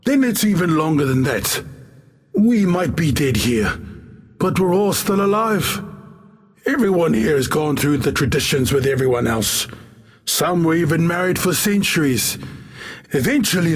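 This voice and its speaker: A man voicing a world-weary dead tribesman, husky and reflective, for a fantasy game. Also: a little high, lively intonation, normal speed, soft background music. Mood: wistful, weary.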